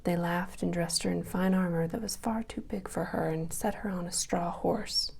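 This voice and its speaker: A woman reading a children's story aloud as ASMR in a soft, breathy whisper. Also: lowish voice, fairly even intonation, moderate pace.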